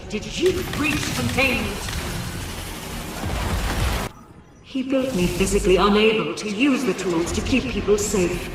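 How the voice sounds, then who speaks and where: A high-pitched, duty-bound station computer, troubled beneath formal, clipped phrasing. The synthetic intercom voice is drenched in echo, with music behind it, as in a sci-fi game. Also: male voice. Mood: bleak, lonely. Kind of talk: video game character acting. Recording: very echoey, distant, music underneath.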